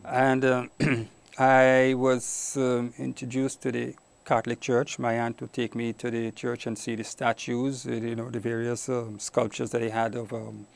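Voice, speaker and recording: A man's voice: medium pitch, completely flat delivery, measured pace, creaky. A middle-aged man from Guyana with a Caribbean accent, giving reflective answers as an interview guest. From Guyana, later Trinidad and Tobago. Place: small indoor TV studio. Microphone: lapel mic.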